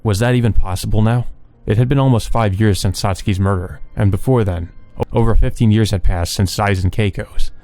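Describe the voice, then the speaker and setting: A man's voice: on the low side, brisk, hardly any pauses, darkish tone. A man reading a visual novel aloud and commenting casually as he plays. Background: game music.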